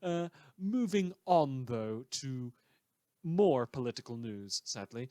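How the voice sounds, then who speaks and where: A man playing a sardonic, bitter radio news host in a fan audio drama, with theatrical, drawn-out emphatic phrasing. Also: mid-range voice, animated, mellow, dry recording, studio quality. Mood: bitter, disillusioned. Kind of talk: scripted satirical radio news monologue.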